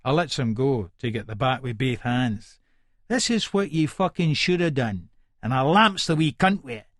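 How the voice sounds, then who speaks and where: A man's voice: on the high side, fairly bright tone, no echo, studio quality. An actor with an Edinburgh Scottish accent playing a violent, volatile hard man who narrates an audiobook in the first person, sweary and aggressive. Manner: aggressive, menacing, sweary, colloquial.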